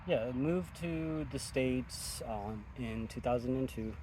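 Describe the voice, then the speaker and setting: A young man from Ethiopia speaks in a measured, thoughtful way, often pausing with an um. He sounds like a chef explaining his cooking outdoors. Traffic noise runs clearly underneath. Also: mid-range voice. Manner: thoughtful, measured, with frequent ums. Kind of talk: casual interview chat outdoors.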